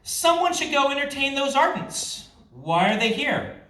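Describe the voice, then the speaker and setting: A man's voice: very high-pitched, very animated, measured pace, bright, forceful tone, voice pushed up, full character voice. A man voicing a composed, commanding noblewoman with controlled irritation in a fantasy novel reading. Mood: exasperated. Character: composed, curt noblewoman, a queen and mother-in-law. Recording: roomy live reading, far from mic.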